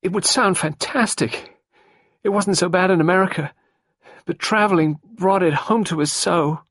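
A man's voice: high voice, moderate pace, a bit bright, no echo, clean studio sound, pitched above the performer's own voice. A man reading an audiobook, voicing a distraught young woman who pleads and falters.

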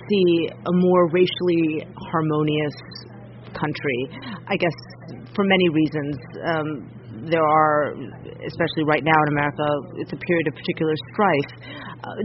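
Measured male radio reporter, fairly high voice. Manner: measured, composed questioning. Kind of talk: radio feature narration and interview questions. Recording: muffled radio audio, faint hiss.

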